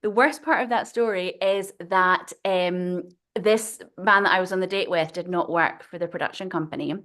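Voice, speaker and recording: A woman's voice: fairly low pitch, normal speed, fairly bright tone. Chatty woman telling a story. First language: not Swedish. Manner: chatty storyteller, digressive, laughs often. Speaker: guest writer. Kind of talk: casual interview conversation.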